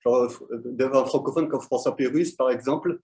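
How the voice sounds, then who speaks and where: A man's voice: medium pitch, fairly even intonation, fairly quick, mellow. A man from Belgium, a quiz winner, answering interview questions hesitantly with many fillers.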